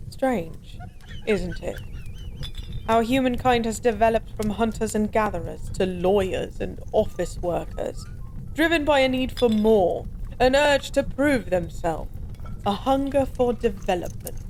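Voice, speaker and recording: A woman's voice: fairly high pitch, lively intonation, a little slow, darkish tone, a little hiss or hum. A woman playing a weary, lonely former goddess in an intimate audio roleplay, speaking wistfully and philosophically in formal, old-fashioned wording. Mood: melancholy, musing. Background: bar ambience.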